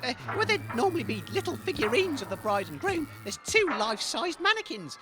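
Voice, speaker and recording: Man playing squeaky, hapless comic sidekick. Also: sing-song, a little fast. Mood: bewildered. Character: dim, anxious, excitable male comic sidekick. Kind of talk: comic audio drama.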